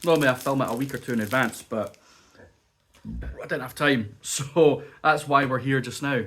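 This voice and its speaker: A man's voice: medium pitch, normal speed, a bit bright. A man chatting casually as he taste-tests cheese and crackers at home, still sniffly and coughing from a bug. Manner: chatty, muses aloud while tasting. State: lingering cough, a bit sniffly. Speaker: food reviewer. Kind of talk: casual food review vlog. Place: indoors at home.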